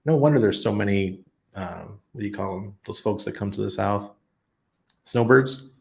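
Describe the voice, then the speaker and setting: A man with a fairly low, gravelly voice hosts a casual livestream and answers viewers' chat. He rambles and thinks out loud, full of ums and false starts. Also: moderate pace. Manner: rambling, thinks aloud with many ums and restarts. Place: expat living abroad.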